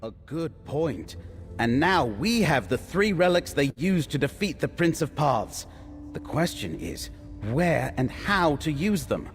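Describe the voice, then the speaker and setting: An actor playing an earnest, thoughtful scholarly ally in a fantasy game, measured and confiding. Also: male voice, on the high side, very animated. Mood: thoughtful, resolute. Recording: reverberant space, faint music behind.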